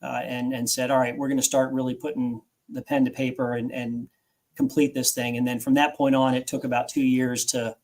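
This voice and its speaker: A man's voice: medium pitch, flat delivery, a little fast, darkish tone, rough recording. A man in his forties, a guest author recounting his own story in an interview, earnest and reflective, often restarting phrases.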